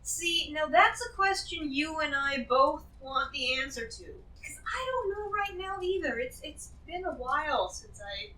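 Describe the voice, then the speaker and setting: A woman's voice: sits high, lively intonation, measured pace, few pauses, sharp tone, a little hiss or hum, heavily acted. A woman playing a greedy, shrewd treasure-hoarder in a fantasy role-play, rambling and emphatic as she justifies herself. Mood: evasive. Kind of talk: improvised tabletop fantasy role-play acting. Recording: echoey room, distant from the mic.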